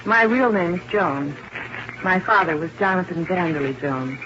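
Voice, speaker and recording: A woman's voice: lowish voice, expressive, normal speed, close to an everyday voice. A woman playing a wry, worldly, cool young woman with a knowing delivery, in 1950s radio drama. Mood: bittersweet. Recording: dull, noisy vintage radio recording.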